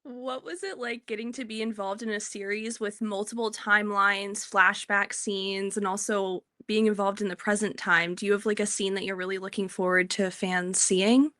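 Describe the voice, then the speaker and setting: Woman interviewer, mid-pitch voice, dry close sound, quick mm-hmms. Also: level tone, fairly bright tone, no room sound. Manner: brief affirming backchannels, laughs easily. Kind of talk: casual interview questions.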